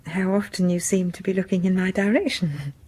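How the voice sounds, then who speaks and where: A woman's voice: fairly low pitch, fairly quick, dark tone, slightly rough. An actress playing a shy, proper, questioning woman in a radio horror drama, speaking politely and tentatively with a British accent. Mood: shy, candid.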